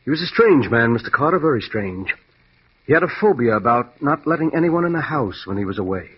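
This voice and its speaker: An actor playing a fussy, genteel family lawyer in an old radio drama, with a proper, mannered delivery. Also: male voice, mid-range voice, very animated, a little fast, a little hiss or hum, natural voice. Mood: polite, dismayed. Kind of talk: 1940s-50s radio drama acting. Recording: thin, phone-like vintage radio recording.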